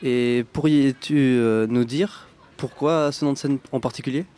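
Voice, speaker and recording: A man's voice: mid-range voice, steady tone, unhurried, dark tone, smooth. Guy radio host asking questions, casual, bit hesitant. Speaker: radio interviewer. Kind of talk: interview questions.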